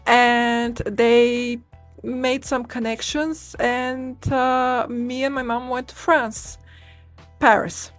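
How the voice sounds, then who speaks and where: A woman's voice: a little high, little pitch movement, takes it slowly, soft background music. A woman in her twenties from Bulgaria, telling personal stories at home in a casual, rambling way full of fillers and restarts. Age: 20s. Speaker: vlogger. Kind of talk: casual personal storytelling to camera.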